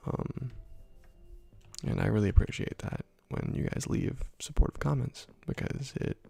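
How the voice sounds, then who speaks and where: A man hosting an ASMR gameplay video, talking softly into a close microphone, rambling with many fillers and slipping into whispers. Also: mid-range voice, measured pace, gravelly, breathy edge. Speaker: ASMR gaming channel host. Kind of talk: soft-spoken ASMR gameplay commentary.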